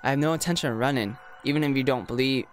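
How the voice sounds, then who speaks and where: A man's voice: medium pitch, racing, slight background noise, natural voice. A man voicing a composed, wary eighteen-year-old rich boy held captive in a visual novel. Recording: music behind the voice.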